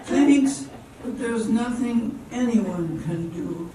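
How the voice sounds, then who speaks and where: A woman playing a romantic leading lady in an old-time radio drama, with slow theatrical delivery, picked up from a distance in a roomy space. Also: fairly high pitch, animated, mellow. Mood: distressed. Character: Hannah, lovelorn young woman turned playfully bossy sweetheart. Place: live amateur performance in a hall. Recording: roomy, distant stage mic with faint noise.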